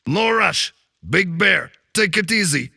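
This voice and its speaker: Gravelly Russian fairy schemer, high-pitched. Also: male voice, animated, slow, sharp tone, no echo, studio quality, exaggerated voice. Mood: wary. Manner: broken English, blunt exclamations. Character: tiny scheming male fairy scavenger, brash and gruff. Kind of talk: animated fantasy audio drama acting.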